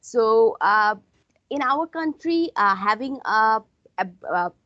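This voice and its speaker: A woman's voice: a little high, expressive, slow, no echo. A young woman from Bangladesh talking over a video call. Her voice sounds dry and slightly muffled, and she speaks earnestly, often repeating her phrases. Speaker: graduate student. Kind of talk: casual academic discussion.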